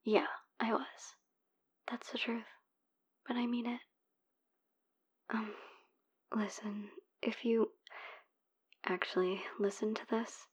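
A woman playing a heartbroken young woman who leaves a hushed, pleading voicemail for her ex, swearing in frustration. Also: fairly high pitch, slightly rough, full character voice. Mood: soft pleading. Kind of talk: whispered audio roleplay voicemail.

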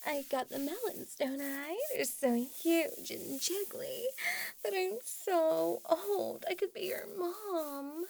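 A woman's voice: very high voice, slow pace, creaky, heavily acted. A woman playing a tipsy, doting older neighbor. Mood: coaxing. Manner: soft flirty coaxing, slipping into whispers. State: a little drunk. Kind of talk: audio roleplay.

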